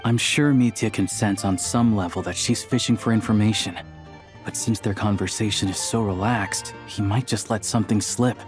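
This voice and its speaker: Man voicing a low, wry, observant onlooker. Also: fairly even intonation, normal speed, music in the background, natural voice. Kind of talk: video game voice acting.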